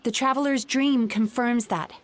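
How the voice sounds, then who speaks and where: A woman voicing a composed, analytical young therapist in a video game, speaking in a measured, articulate way. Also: on the high side, fairly even intonation, natural voice. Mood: alarmed, urgent. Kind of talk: English video game voice acting.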